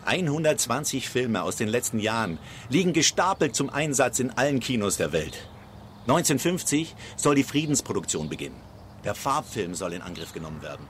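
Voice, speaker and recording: An actor in a German radio drama playing a brash, cynical screenwriter who reports in clipped phrases. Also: male voice, mid-range voice, very animated, a little fast, fairly bright tone, slight background noise. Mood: brisk, sardonic.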